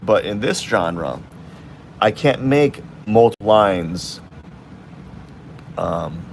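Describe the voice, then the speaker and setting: Male artist on a live stream, low voice, loose and rambling, talks through his work as he goes. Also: animated, drawn-out words, creaky, slight background noise. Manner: rambling, thinking aloud with restarts. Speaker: artist streaming while drawing. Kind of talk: casual live-stream commentary.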